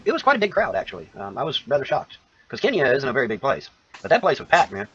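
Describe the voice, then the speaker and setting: A man's voice: medium pitch, huge pitch swings, quick pace, a bit bright, slight background noise. A man giving a rambling, folksy commentary to his viewers, self-assured and calling them folks. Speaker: commentator in a played video clip. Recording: lo-fi dull sound.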